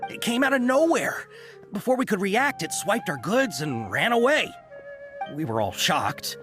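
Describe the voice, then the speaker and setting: An actor voicing a nervous, chatty caravan merchant who hesitates a lot, with many uhs. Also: male voice, high voice, very animated, measured pace. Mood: uneasy. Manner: hesitant, full of uhs, then eager. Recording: game audio with background music.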